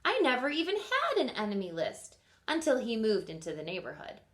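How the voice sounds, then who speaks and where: A woman teacher and host doing an expressive storybook read-aloud for young children, often pausing to put questions to her listeners. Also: a little high.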